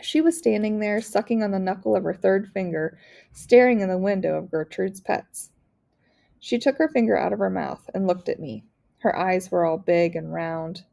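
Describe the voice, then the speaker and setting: A woman's voice: mid-range voice, moderate pace, vocal fry, mellow. A woman narrating a children's novel aloud, as a teacher reads to a class.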